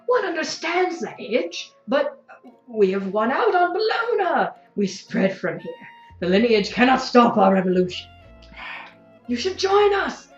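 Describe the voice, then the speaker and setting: A woman's voice: sits high, very animated, unhurried, roomy sound, lo-fi sound, soft background music. A woman playing a grandiose, effusively polite but unrepentant revolutionary zealot in an audio drama, speaking in formal, stilted phrases. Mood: grave, guarded. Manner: formal, stilted, refers to self as "one".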